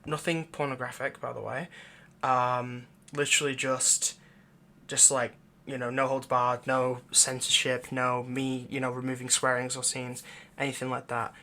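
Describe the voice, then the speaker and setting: A man's voice: medium pitch, monotone, normal speed, pushed and bright. A man who creates audio roleplays, chatting casually in a rambling, hesitant, informal way as he addresses his viewers. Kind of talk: casual outro chat with plugs.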